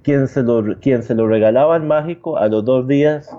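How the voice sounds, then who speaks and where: A man talking conversationally as a guest, reflective and halting, often checking for agreement as he tells stories. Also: mid-range voice, warm tone, highs cut off, a little hiss or hum. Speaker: guest commentator. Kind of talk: conversational sports storytelling.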